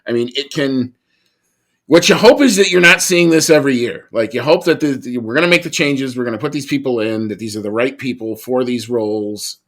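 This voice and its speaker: Male podcast co-host, mid-pitched, relaxed chatty delivery, restarts sentences often. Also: a little fast. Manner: conversational, frequent restarts and asides. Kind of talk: casual chat.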